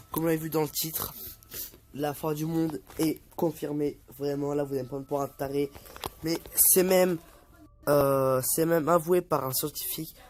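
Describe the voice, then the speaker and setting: A young man vlogging casually, reading an article aloud and stumbling over words, with many fillers. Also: a little high. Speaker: youtuber.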